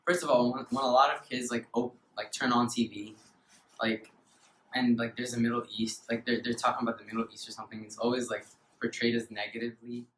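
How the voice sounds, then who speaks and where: A teenage boy from Egypt, a high school student who rambles a little and fills his answers with likes. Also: little pitch movement, a little fast, fairly bright tone, reverberant, far from the mic, slight background noise, poor audio. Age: teens. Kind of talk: casual interview answers.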